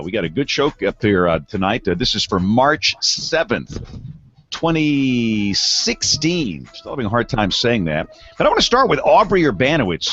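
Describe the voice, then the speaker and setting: A man's voice: mid-range voice, fairly bright tone, muffled recording. A man hosting a weather podcast in a studio, with loose, laughing banter. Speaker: podcast host. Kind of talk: casual chat and show opening. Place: broadcast studio.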